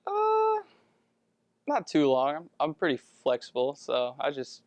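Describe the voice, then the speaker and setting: A young man answering interview questions in a hesitant, halting, casual way. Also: fairly high pitch, wildly swooping pitch, no echo. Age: young adult. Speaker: college basketball player.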